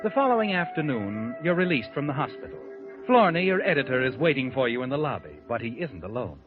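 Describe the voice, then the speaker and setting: A man's voice: medium pitch, very animated, a little fast, natural voice. An actor playing a sinister, all-knowing narrator who taunts a guilty man in a 1940s radio mystery. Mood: ominous. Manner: taunting, insinuating. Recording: dull old radio recording with music.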